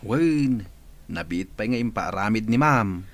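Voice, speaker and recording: A man's voice: on the low side, lively intonation, measured pace. A man acting a gossipy, folksy household servant with a Filipino accent in a radio comedy drama. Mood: gossipy, welcoming.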